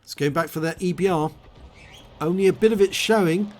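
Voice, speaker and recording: A man with a hoarse voice after a cold, calmly explaining and calling the play in a video game replay. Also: high-pitched, a little fast.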